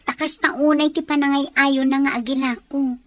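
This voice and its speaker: High-voiced troubled Ilocano daughter. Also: female voice, fairly even intonation, normal speed, fairly bright tone, no room sound. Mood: troubled, suspicious. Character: Margaret, troubled daughter suspecting a land grab. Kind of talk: Ilocano radio drama acting.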